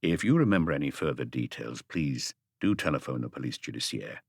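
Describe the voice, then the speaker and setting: A man reading the part of a calm, authoritative police chief inspector in an audiobook, measured and matter-of-fact. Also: very deep voice, slightly rough, dry recording, studio quality, voice pushed down, full character voice. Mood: quietly courteous.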